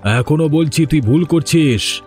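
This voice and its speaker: A man's voice: fairly high pitch, unhurried, a little mellow, soft background music, natural voice. A man playing a principled, defiant young village man in a cartoon drama, speaking with firm challenge. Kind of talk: Bengali cartoon drama dialogue.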